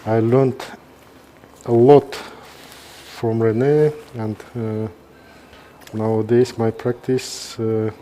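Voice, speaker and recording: A middle-aged man with a Kazakh accent speaks slowly in a mellow, soft-edged voice. He gives informal commentary in halting phrases, often pausing mid-sentence. Faint background noise sits behind him. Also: medium pitch, little pitch movement. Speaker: neurosurgeon presenting a live case. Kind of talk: informal live-stream commentary.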